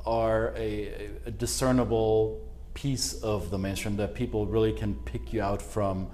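A man's voice: lowish voice, level tone, measured pace, dark tone, slight background noise. A man hosting a podcast, asking interview questions and giving short affirming murmurs while his guest talks. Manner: brief affirming backchannels. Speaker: podcast host.

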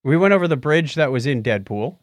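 Chatty guy podcasting, kinda high voice, really animated, close dry sound. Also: fairly quick, no room sound. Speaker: podcast co-host. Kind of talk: casual chat about movies.

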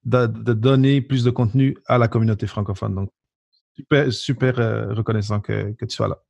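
A man's voice: fairly low pitch, steady tone, moderate pace, creaky, darkish tone, no room sound, muffled recording. A man hosting a podcast, introducing the show and his guest and asking questions in a friendly, enthusiastic way. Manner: enthusiastic, friendly, some fillers. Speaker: podcast host.

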